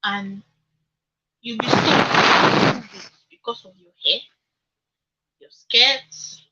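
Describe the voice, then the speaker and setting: High, bright young Nigerian woman. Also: steady tone, extremely slow, husky, poor audio. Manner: emphatic, uses repetition and rhetorical questions. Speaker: radio talk show speaker. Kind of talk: animated radio discussion.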